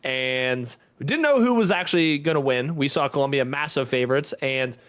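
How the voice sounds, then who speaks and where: A man's voice: medium pitch, animated, moderate pace, fairly bright tone. A man co-hosting a gaming podcast, chatting in an easygoing, informal, slangy way. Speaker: podcast co-host. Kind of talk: casual chat on a gaming podcast.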